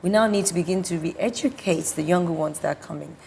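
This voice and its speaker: A woman's voice: fairly low pitch, fast, creaky, darkish tone, slight background noise. A woman, a talk show co-host speaking confidently and emphatically. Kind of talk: casual panel chat.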